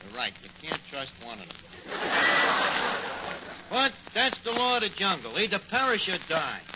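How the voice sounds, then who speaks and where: An actor playing a boastful, bumbling tavern manager with a New York accent and mangled grammar, in a 1940s radio comedy. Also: male voice, high voice, wildly swooping pitch, normal speed. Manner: blustering, malapropism-prone, mangled grammar. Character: boastful bumbling tavern manager, a tall-tale-spinning phony. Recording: noisy muffled old radio recording.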